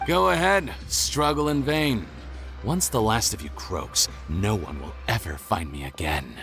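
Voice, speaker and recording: An actor playing a smug, ruthless criminal schemer in a video game, theatrical and scornful. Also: male voice, lowish voice, expressive, unhurried, gravelly, a bit bright, full character voice. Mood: smug, menacing. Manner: sneering, theatrical. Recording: music under the voice.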